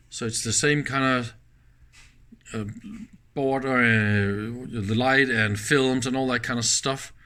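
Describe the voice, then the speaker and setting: A man presenting a casual hands-on demonstration, speaking in halting pieces and often checking in with short questions. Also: medium pitch, fairly bright tone. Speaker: presenter of electronics teardown videos.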